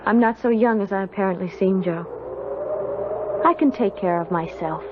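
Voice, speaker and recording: A woman's voice: mid-range voice, moderate pace, background noise, lightly acted. An actress playing an earnest young servant girl who tells her tale in a radio drama. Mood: earnest, reassuring. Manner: earnest, storytelling. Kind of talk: 1970s radio drama narration and dialogue. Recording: dull old radio recording. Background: prominent music.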